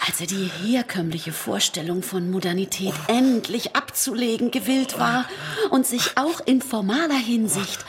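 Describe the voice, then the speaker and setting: A woman playing the courteous, pondering hostess of an eccentric house in an absurdist radio play, measured and often breathy. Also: fairly high pitch, close to an everyday voice. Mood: deliberate, encouraging. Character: courteous, deliberating female host of an eccentric house.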